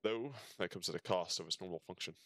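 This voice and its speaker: Low-voiced man streaming a game. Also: fast talker, fairly bright tone, no echo. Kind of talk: reading game dialogue aloud with casual reactions.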